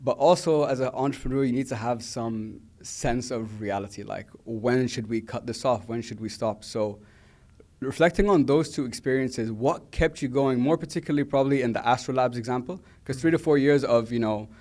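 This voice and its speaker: A man's voice: mid-range voice, normal speed, a little mellow. A young man hosting an interview, who asks long, earnest questions as an early-stage entrepreneur. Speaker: interviewer host.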